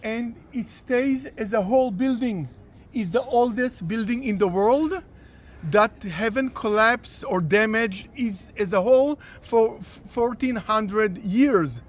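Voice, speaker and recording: A man's voice: high voice, slow pace. A man with an Israeli accent, a doctoral researcher explaining a site in casual chat, with hesitant fillers and dry humor.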